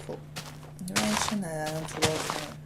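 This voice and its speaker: A woman with an Iranian Persian accent giving casual, rambling gameplay commentary as a video host, often repeating short phrases excitedly. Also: low voice, gravelly, over loud music. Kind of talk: casual gameplay commentary, talking to self and viewers. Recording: loud background noise and game ambience.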